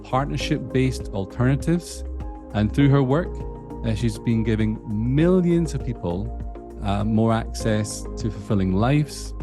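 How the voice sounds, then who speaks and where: A man's voice: medium pitch, expressive, measured pace, music in the background. A man with a Scottish accent, hosting a podcast interview in a friendly, casual way. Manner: friendly, with fillers. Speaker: podcast host.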